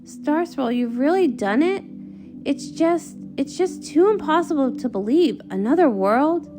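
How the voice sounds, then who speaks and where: A woman voicing a burdened, gracious young pony ruler in a comic read-along, gentle and earnest. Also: sits high, expressive, a little slow, music in the background. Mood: amazed.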